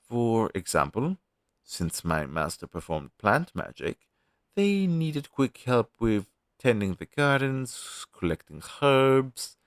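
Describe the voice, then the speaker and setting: Male actor for a demon crow familiar, fantasy roleplay audio: helpful explainer, animated intonation, dry close sound. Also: on the low side, no echo, exaggerated voice. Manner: conversational, explanatory, reassuring, with thoughtful hums. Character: friendly male demon crow familiar advising a young witch.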